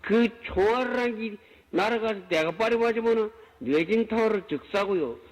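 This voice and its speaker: A man's voice: high-pitched, animated, moderate pace, long reverb tail, low-quality recording. A man doing a rustic Gangwon-dialect scholar warning his king. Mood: urgent, rambling. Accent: Gangwon Province Korean dialect. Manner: thick rustic dialect, long rambling colloquial warning. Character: male Joseon scholar-official urgently warning his king.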